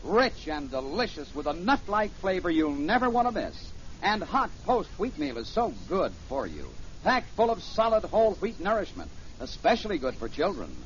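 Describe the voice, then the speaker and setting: A man's voice: high voice, sing-song, normal speed. A man reading a 1950s radio commercial as an enthusiastic salesman. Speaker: cereal commercial announcer. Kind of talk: 1950s scripted radio advertising. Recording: muffled old radio recording, faint hiss.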